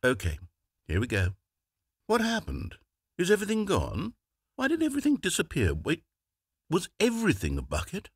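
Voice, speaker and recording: A man's voice: high voice, normal speed, long pauses, no room sound, exaggerated voice. A man playing a fussy, theatrical video game narrator, dramatic, exasperated and condescending by turns. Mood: despairing, then relieved. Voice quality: husky, very animated delivery. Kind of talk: scripted video game voice acting. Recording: dry close studio recording.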